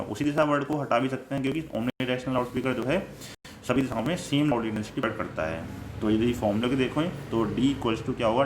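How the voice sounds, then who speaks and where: A man's voice: medium pitch, slight background noise. A man with an Indian accent giving an explanatory electronics lecture, going step by step, repeating points and mixing in English technical terms. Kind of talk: explanatory lecture, reading definitions then paraphrasing them. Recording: echoey room sound.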